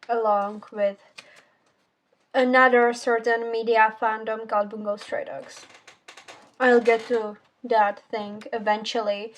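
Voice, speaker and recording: A teenage girl whose first language is Czech, casually reviewing a book in a rambling, self-correcting way with casual swearing. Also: fairly high pitch. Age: teens. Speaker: book vlogger.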